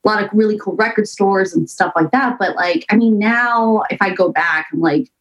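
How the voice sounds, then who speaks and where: A woman's voice: medium pitch, normal speed, lo-fi sound. An American woman from the Seattle area chatting casually as a guest, rambling and hedging as she goes. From suburb of Seattle, US. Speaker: band singer and guitarist, interview guest. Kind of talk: casual chat.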